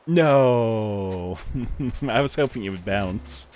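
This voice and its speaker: A young man with a deep voice chatting casually with viewers while he plays a game on a live stream, rambling in a relaxed way. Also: extremely slow. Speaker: livestreamer. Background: faint game music.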